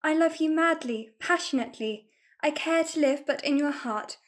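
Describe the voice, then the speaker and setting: A woman's voice: sits high, normal speed, close to an everyday voice. A woman acting a passionate, fretful young Victorian lady with florid, theatrical speech in a farcical radio play.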